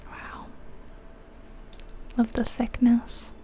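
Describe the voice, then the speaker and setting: A woman whispering breathily in ASMR style, giving gentle, chatty commentary on a game as she goes. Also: extremely slow. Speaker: ASMR video host.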